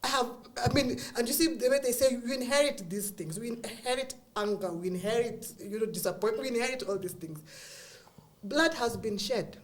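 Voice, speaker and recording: A woman's voice: fairly high pitch, brisk. Middle-aged Kenyan woman, candid guest, earnest with easy laughter. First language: Kikuyu. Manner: earnest, frequent laughs and restarts. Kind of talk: candid conversational chat.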